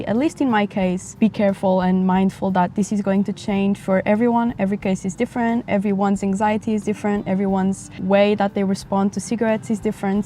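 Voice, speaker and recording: A woman in her twenties from Portugal, chatting frankly in a casual vlog, her voice a bit raspy from a dry throat, recorded outdoors near a highway. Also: warm tone. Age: 20s. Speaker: vlogger. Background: passing motorcycles and traffic.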